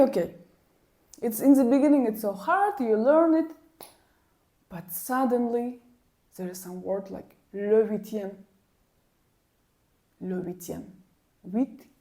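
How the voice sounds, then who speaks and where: Woman w vlogging, slow and mellow, repeats herself a lot when frustrated. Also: fairly high pitch. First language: Kyrgyz. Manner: animated and exasperated, repeats words for emphasis. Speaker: language vlogger. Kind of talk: casual personal storytelling to camera.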